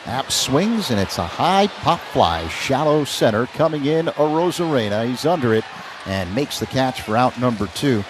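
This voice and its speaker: A man's voice: medium pitch, sing-song, moderate pace. An American man calling a baseball game live on radio as play-by-play announcer, in clipped phrases that follow the action. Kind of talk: live radio sports commentary. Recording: crowd ambience noise behind broadcast. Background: ballpark ambience noise.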